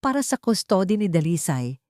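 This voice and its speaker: A woman's voice: fairly low pitch, big pitch swings, a little fast, darkish tone, no room sound, clean studio sound. A woman voicing a timid, guilt-ridden young father who pleads hesitantly with his mother in a narrated story. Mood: pleading.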